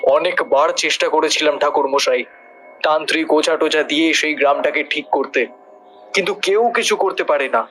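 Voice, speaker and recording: A worried village landlord played by a man pleads deferentially in a horror audio play. His voice is rather high and forceful, with some music behind it. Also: normal speed, natural voice. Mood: worried, pleading. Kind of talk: audio drama acting.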